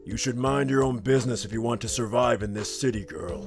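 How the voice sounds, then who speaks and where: A man playing a gruff, dismissive middle-aged businessman, curt and scornful, in an animated comic dub. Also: medium pitch, a little fast, lightly acted. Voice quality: gruff, gravelly. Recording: music behind the voice.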